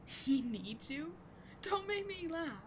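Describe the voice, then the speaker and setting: A woman's voice: very high voice, sing-song, slow, a little hiss or hum, full character voice. A woman playing a bitter, passionate villain with secret devotion, speaking in a theatrical, intense, emphatic way in a dramatic audio roleplay. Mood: accusing, scornful. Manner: theatrical, intense, emphatic, with sighs and dropped whispers. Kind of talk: dramatic audio roleplay monologue addressed to the listener.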